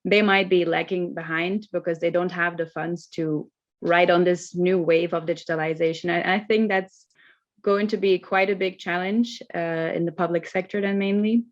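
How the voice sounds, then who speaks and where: A woman's voice: on the low side, steady tone, moderate pace. A woman from Belgium, a citizen panelist joining a discussion by video call, explaining her views earnestly and steadily. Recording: dull lo-fi video call audio.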